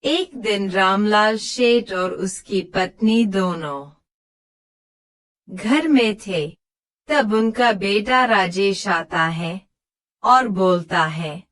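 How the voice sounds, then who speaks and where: A woman reads a moral story as its narrator. Her delivery is scripted and extremely slow, set at an average female pitch. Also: lively intonation, fairly bright tone.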